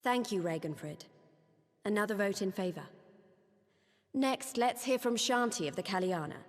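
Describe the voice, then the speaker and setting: An actress playing an earnest, hopeful young resistance leader in a video game, addressing others plainly and formally. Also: female voice, mid-range voice, animated, normal speed, close to an everyday voice. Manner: earnest, clear public address. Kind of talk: dramatic video game cutscene acting. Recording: long reverberant hall echo.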